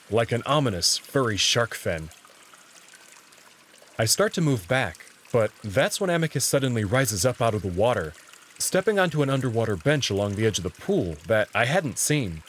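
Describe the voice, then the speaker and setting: A man reading scripted, expressive first-person narration as the narrator of a visual novel. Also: mid-range voice, normal speed, a little hiss or hum.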